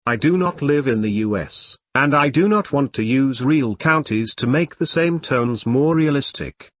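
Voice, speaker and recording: Man reading stiffly, pitch swinging, dry sound. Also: expressive, normal speed, fairly bright tone, dry recording. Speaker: video narrator. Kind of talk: scripted disclaimer narration.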